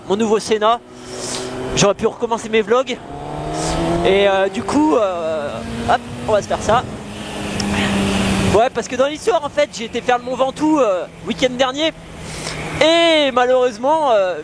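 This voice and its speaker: A young man chats casually in slang, hesitating and thinking aloud as a motorcycle vlogger. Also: very high voice. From France. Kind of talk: casual chat. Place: outdoors on a moving motorcycle. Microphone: helmet intercom microphone. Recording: clear vehicle and wind noise, helmet intercom.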